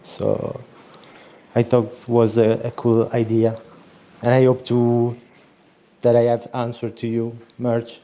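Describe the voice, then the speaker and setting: Mellow, even-voiced man explaining a project. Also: mid-range voice, takes it slowly, long pauses. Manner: explaining at length. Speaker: project organizer. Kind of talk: casual chat. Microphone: remote call audio.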